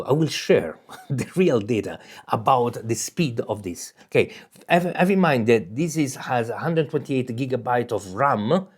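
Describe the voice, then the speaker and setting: Italian-accented man, halting tech talk. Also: mid-range voice, a little mellow. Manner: halting, many fillers and restarts, laughs often. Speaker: tech video host. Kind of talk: casual unscripted explainer.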